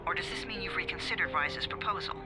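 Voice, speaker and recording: A man's voice: sits high, quick pace, music in the background, natural voice. An actor voicing a cold, condescending male handler in a video game, formal and sardonic. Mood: mocking.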